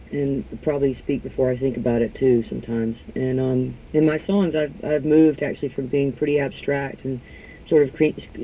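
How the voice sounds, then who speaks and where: Woman musician, halting, lots of restarts, really mellow dark voice, bit of faint noise. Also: very deep voice, low-quality recording. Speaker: musician interview guest. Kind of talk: casual conversational answers.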